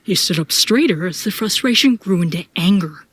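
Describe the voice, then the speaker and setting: A woman's voice: fairly low pitch, lively intonation, moderate pace, slightly rough, dry recording. A woman reading scripted first-person narration for an audio drama.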